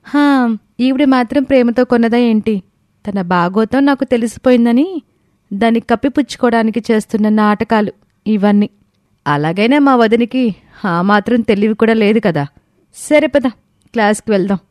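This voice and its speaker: A woman's voice: fairly high pitch, moderate pace, pauses often, darkish tone, dry recording, studio quality, natural voice. A woman playing a resentful, scheming young college girl in an audio story, complaining and persuasive. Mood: scornful. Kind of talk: scripted audio story dialogue.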